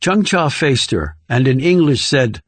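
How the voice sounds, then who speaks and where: Man, audiobook narrator, scripted thriller reading, medium pitch, dry sound. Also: animated, measured pace, dry recording.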